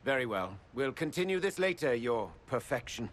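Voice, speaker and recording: A man's voice: medium pitch, very animated, normal speed, slight background noise, lightly acted. Man voicing a stern courtly nobleman. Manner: formal, firm, courtly. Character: stern male nobleman envoy. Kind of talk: video game cutscene acting.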